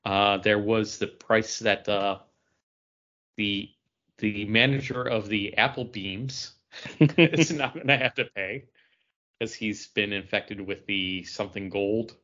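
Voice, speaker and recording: Male podcast co-host, low register, wry and conversational, slightly muffled recording. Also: steady tone, slow pace, fairly bright tone. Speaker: podcast co-host reviewing comics. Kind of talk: casual panel discussion. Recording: dull lo-fi audio.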